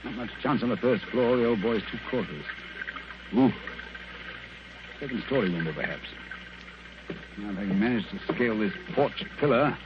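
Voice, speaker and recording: A man playing a brisk, shrewd, commanding detective in an old radio drama, with a crisp, theatrical British delivery. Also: fairly low pitch, lively intonation, close to an everyday voice. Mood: muttering, straining. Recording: muffled, hissy vintage radio recording.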